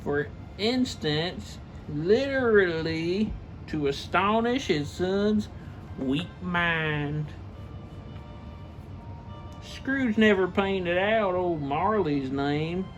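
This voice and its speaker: A man's voice: high voice, lively intonation, extremely slow, faint music behind, poor audio. A man reading a classic story aloud theatrically and emphatically, stumbling over the text and dropping in casual asides. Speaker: reader, show host.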